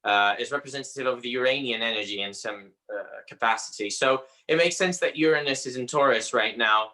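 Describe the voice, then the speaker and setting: Brazilian-accented man, Portuguese native, bright forceful tone, halting delivery, guest expert. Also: mid-range voice. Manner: halting, searching for words with frequent pauses. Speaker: astrologer guest. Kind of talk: conversational interview.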